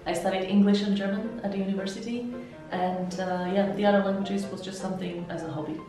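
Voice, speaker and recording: A woman from Slovakia with a Slovak accent, an interpreter and language mentor, giving measured, explanatory interview answers. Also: mid-range voice, steady tone. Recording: echoey room, heard from some distance, soft music.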